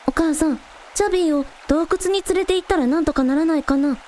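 A woman's voice: high-pitched, a little fast, mellow, a little hiss or hum, natural voice. A woman playing an earnest, decisive younger sister, a young girl, in a radio drama. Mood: worried, urgent.

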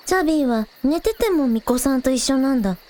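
A woman playing a cheerful, curious young village idol girl, casual and quick to exclaim, in a scripted audio drama. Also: high voice, animated, warm tone. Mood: teasing, easygoing.

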